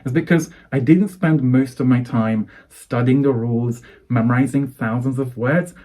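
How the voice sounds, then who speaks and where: A man's voice: medium pitch, normal speed, mellow. A man from Hungary, a native Hungarian speaker with a Hungarian accent, giving an earnest, persuasive English-coaching lesson to camera. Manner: earnest, persuasive, builds lists of phrases.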